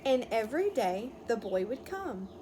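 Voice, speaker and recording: A woman teacher reading a picture book aloud to young children, pausing to explain words simply and ask questions. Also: fairly high pitch, sing-song. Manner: instructive, explains words simply, checks understanding. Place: outdoors. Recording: clearly noisy outdoor ambience, wind chime. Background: birds chirping, wind chime.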